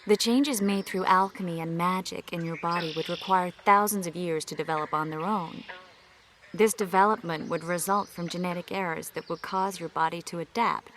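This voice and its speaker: A woman playing a learned sorceress healer in a video game, explaining things in a measured, frank way. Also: lowish voice, fairly bright tone, slight background noise.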